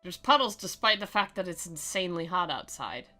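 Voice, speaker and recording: A woman's voice: on the low side, expressive, a little fast, sharp tone, faint music behind. A woman giving sarcastic, theatrical commentary as she plays and reads aloud from a video game, in a mocking, giggle-prone way. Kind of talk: sarcastic playthrough commentary with dramatic reading.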